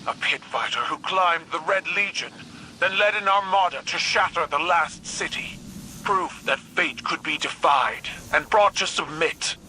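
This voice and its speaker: An actress playing a proud, defiant alien warrior empress in a video game, speaking in imperious declarations. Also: female voice, on the low side, unhurried, bright, forceful tone, slight background noise, rough recording. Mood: proud, embattled. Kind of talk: dramatic video game acting.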